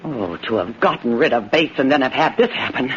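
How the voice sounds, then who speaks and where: An American actress playing a cold, commanding office manager who plots murder in an old-time radio thriller. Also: female voice, a bass voice, lively intonation, fairly quick. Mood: angry, resolute. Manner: commanding, contemptuous, coolly controlled. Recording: noisy, muffled vintage radio broadcast.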